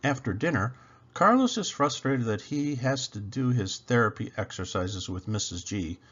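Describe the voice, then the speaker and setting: A man reading a children's story aloud with expressive narration, as a storybook reader. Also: medium pitch, normal speed, muffled recording.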